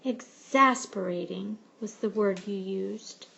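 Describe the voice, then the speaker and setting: A woman reading a picture book aloud like a teacher, with expressive, measured storytelling. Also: on the high side, highs cut off. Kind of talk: storybook read-aloud narration.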